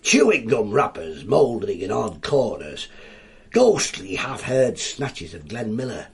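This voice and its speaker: An actor playing a wry, bookish, world-weary detective inspector in a radio drama, with a dry, pompous turn of phrase. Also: male voice, on the low side, sing-song, measured pace, creaky, breathy edge, full character voice. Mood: wry, musing. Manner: dry, pompous, quote-laden turns of phrase.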